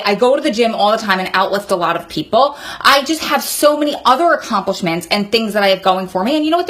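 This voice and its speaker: A woman's voice: on the high side, pushed and bright. A woman of about forty giving candid advice in a vlog, speaking in an earnest, encouraging, conversational way. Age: 39, nearly 40. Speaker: vlogger advising prison wives.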